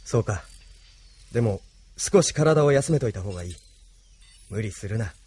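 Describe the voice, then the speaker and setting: A man acting a calm, considerate resistance leader in a radio drama, composed and courteous, with music behind. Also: fairly low pitch, moderate pace, slightly rough, dry recording. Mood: calm, caring.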